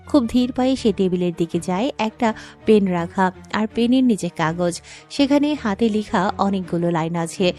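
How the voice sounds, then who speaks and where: Casting: female narrator, medium pitch, scripted dramatic storytelling with a faint music bed. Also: animated, moderate pace, warm tone.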